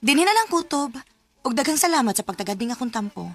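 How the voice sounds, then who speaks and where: High-voiced lovestruck young woman, Cebuano accent. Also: very animated, quick pace, full character voice. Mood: sorrowful. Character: Nikki, lovestruck young single mother with a secret. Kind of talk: emotional Philippine radio drama acting.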